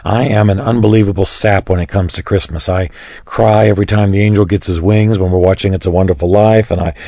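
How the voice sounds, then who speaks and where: A man hosting a religious radio program, earnest and chatty, his voice a little raspy today. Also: low voice, fairly even intonation, fairly quick, warm tone, no room sound, clean studio sound. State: slightly raspy voice. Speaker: radio host. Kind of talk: warm-up introduction on radio.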